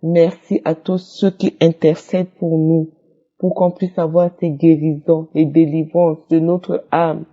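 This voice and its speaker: A woman's voice: sits low, little pitch movement, a little slow, dark tone, muffled recording. A woman giving a fervent testimony, repeating phrases and breaking into praise. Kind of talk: emotional spoken testimony.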